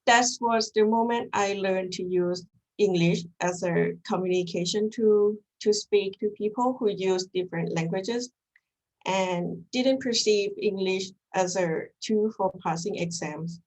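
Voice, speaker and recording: A woman's voice: medium pitch, a little mellow, poor audio. A young woman from Thailand, a native Thai speaker with a Thai accent, giving an earnest academic presentation as a graduate student over a video call. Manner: measured, earnest, slightly halting delivery.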